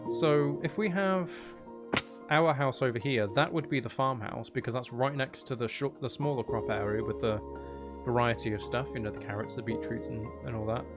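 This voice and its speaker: A man giving casual gameplay commentary as a streamer, thinking out loud and chatting with viewers. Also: mid-range voice. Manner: thinks out loud, sweary, banters at game characters. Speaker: game streamer.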